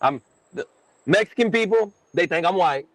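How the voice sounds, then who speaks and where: A man's voice: sits high, expressive, normal speed, no room sound. A middle-aged man from Texas, chatting casually as a guest musician and telling rambling, self-deprecating stories. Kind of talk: casual podcast chat.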